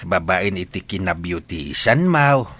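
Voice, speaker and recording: A fond old father in a radio drama. His voice is very low and bright, with lively swings as he talks plainly to his family. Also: measured pace, exaggerated voice. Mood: earnest, fond. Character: fond elderly father and grandfather, plain-spoken. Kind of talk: Ilocano radio drama acting.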